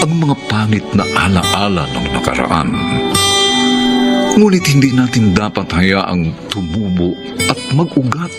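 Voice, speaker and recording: A man with a Filipino accent delivering dramatic scripted narration for a radio drama. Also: deep voice. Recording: loud music under the voice.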